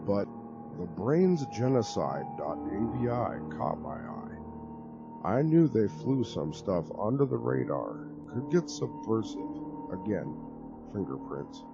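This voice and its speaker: Lively low-voiced male horror narrator. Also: takes it slowly, creaky, muffled recording, over music. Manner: expressive, conversational storytelling. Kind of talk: dramatic horror story reading.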